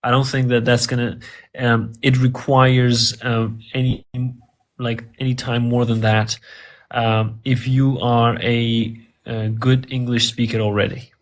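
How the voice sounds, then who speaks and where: A man's voice: mid-range voice, little pitch movement, a little slow, poor audio. A man whose first language is Farsi, answering interview questions in English in a hesitant, conversational way with frequent fillers and repeated words.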